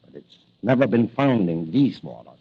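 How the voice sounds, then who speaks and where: An actor playing a learned, grave professor in 1940s radio drama style. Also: male voice, lowish voice, sing-song, moderate pace, husky, exaggerated voice. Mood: grave, explanatory. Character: learned, grave male professor explaining medical findings. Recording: muffled old radio recording.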